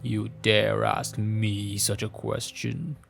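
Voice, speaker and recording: A man voicing a menacing female forest monster in a visual novel, haughty and contemptuous, with a breathy, guttural voice and slow, formal speech. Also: lowish voice, flat delivery, fairly bright tone, soft background music, exaggerated voice. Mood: scornful.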